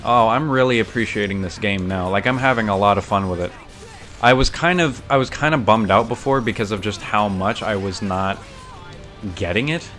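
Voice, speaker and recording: A man's voice: on the low side, normal speed, a bit bright, faint music behind. A young man giving casual gameplay commentary, rambling thoughtfully and often correcting himself mid-sentence. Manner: rambling, self-correcting, bookish. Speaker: streamer.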